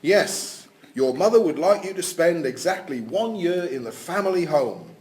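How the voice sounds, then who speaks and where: A man's voice: on the high side, expressive, moderate pace, darkish tone, close to an everyday voice. A man playing a formal, matter-of-fact family solicitor in an audio drama reading. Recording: echoey room with noise.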